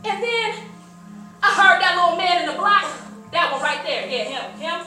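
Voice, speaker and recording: A woman's voice: extremely high pitch, animated, sharp tone, soft background music, heavily acted. A woman performing a dramatic monologue as a bold, proud older formerly enslaved woman orator, in a declamatory, folksy preaching manner. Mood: challenging, emphatic. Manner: declamatory folksy preaching with drawn-out emphatic phrases. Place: live performance in a church hall. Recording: echoey hall, distant microphone.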